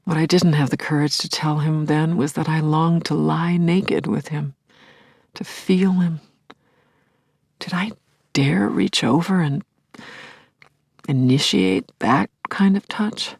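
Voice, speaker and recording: A woman playing a middle-aged grieving widow in an audio play, telling her story as an intimate, expressive and reflective monologue. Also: low voice, slow pace, vocal fry, long pauses, slightly rough, no echo, studio quality, full character voice. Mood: longing, hesitant. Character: grieving widowed scientist, wistful, wry, tender, anxious. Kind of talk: intimate dramatic first-person monologue.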